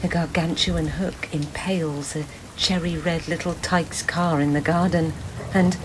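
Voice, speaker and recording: A woman playing a weary, wry, anxious young boy's mother in an intimate audio-play monologue, confiding and at times dropping into breathy hushed tones. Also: low voice, fairly even intonation, measured pace, noisy background. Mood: haunted, hushed.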